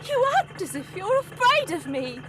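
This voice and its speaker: A woman's voice: very high-pitched, big pitch swings, moderate pace. An actress playing an anxious, earnest servant woman under suspicion, dramatic and insistent, in 1940s radio horror style. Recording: noisy background, insect-like ambience.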